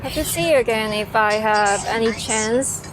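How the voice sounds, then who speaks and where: A woman's voice: fairly high pitch, moderate pace, over loud music. A young woman with a Korean accent, answering a class's questions in a hesitant, casual way with many fillers and restarts. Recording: noisy outdoor recording with traffic.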